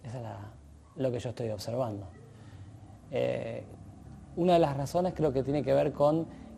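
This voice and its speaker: A man with an Argentine Spanish accent talking analytically and informally in an interview, with hesitant pauses and quieter asides. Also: medium pitch, fairly even intonation, vocal fry, a little hiss or hum. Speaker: radio drama commentator.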